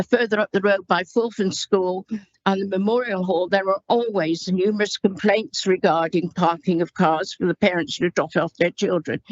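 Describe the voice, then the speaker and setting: A woman councillor speaks in a council chamber, pausing often and restarting her sentences. The sound is dull and dry, like a meeting microphone. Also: mid-range voice, no echo. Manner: halting, many ums and restarts. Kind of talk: formal committee speech.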